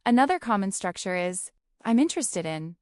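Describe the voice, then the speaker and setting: A woman who is an English teacher, explaining a scripted lesson in a clear, measured way. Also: mid-range voice, big pitch swings, darkish tone, no echo, clean studio sound.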